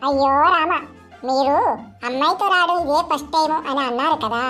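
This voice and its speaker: A woman's voice: high voice, a little fast, bright, forceful tone, soft background music. A woman voicing a coy, chatty young bride-to-be in a comic cartoon, sounding innocent and blithe.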